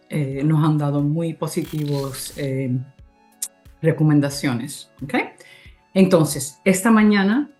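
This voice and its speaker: A woman from Cuba who speaks Spanish as a second language, hosting a webinar with halting, semi-formal welcome remarks and searching for words. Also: sits low, darkish tone. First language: not Spanish (Spanish is a second language). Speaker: host introducing a webinar.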